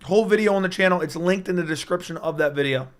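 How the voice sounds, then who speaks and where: A man's voice: sits high, fast talker. A young man hosting a casual livestream and reading viewer comments, his strained voice cutting in and out as he rambles with hype. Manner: hyped, rambling, talks straight to chat. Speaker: MMA YouTuber hosting a livestream. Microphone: personal streaming mic.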